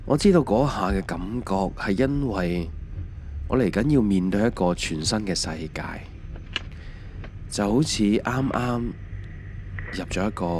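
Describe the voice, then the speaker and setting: A low-pitched, middle-aged Hong Kong Cantonese voice of a man acting as a mild husband in an audio drama. He tells his story reflectively and without hurry, as scripted first-person narration. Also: noisy background.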